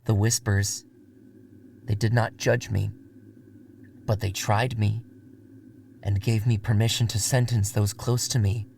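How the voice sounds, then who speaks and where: A man's voice: on the low side, flat delivery, a little slow, creaky, pauses often, a little mellow, a little hiss or hum. Man voicing a brooding, bitter woman vampire. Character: bitter, devoted Middle Eastern American woman vampire, quietly menacing. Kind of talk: dramatic first-person monologue in an audio drama. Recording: music behind the voice.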